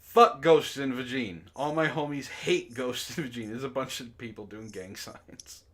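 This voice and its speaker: A man hosting a comedy book club, reading aloud and bantering casually in an animated, mock-dramatic way, prone to laughing. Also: medium pitch, moderate pace.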